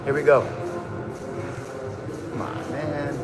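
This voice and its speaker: A hyped man chats with his livestream viewers in a high voice, tossing out slang and calling everyone bro. His voice bounces around an echoey room, and music plays clearly behind him. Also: flat delivery, slow. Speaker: livestream host. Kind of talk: casual livestream chat.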